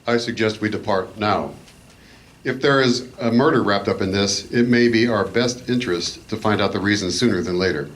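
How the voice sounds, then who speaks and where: A man playing a shrewd, commanding adventure hero, speaking in a measured, formal way in a live radio play. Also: lowish voice, fairly bright tone, natural voice, poor audio. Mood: composed.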